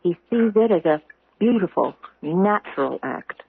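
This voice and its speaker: An actress playing a grave, deliberate woman who presses an accusation, in old-time radio drama style. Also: fairly low pitch, expressive, creaky, breathy edge, heavily acted. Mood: grave, probing. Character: grave, accusing woman confronting a killer judge. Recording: roomy, muffled old radio recording.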